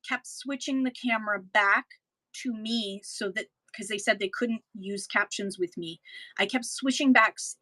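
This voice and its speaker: A woman's voice: mid-range voice, pushed and bright, no echo, poor audio. A Canadian woman, a livestream seller, chatting casually and practically to her viewers as she gives selling advice. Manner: chatty, practical, with frequent ums and restarts. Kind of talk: casual instructional talk to viewers.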